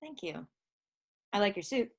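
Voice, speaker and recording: A woman's voice: medium pitch, very animated, normal speed, vocal fry. An actress playing an awkward, self-deprecating young woman fresh out of rehab in a stage play. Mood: shy, wry. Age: young adult.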